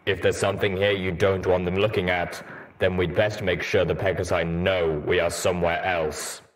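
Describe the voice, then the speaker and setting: Man playing a stoic, low-voiced pony soldier. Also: level tone, normal speed, lightly acted, poor audio. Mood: practical.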